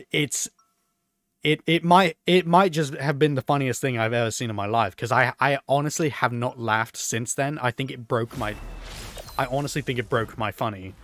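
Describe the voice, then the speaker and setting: A hoarse man rambling through an absurd story over gameplay, full of fillers and restarts. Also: mid-range voice. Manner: rambling, filler-heavy, absurd deadpan tales. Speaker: gaming YouTuber.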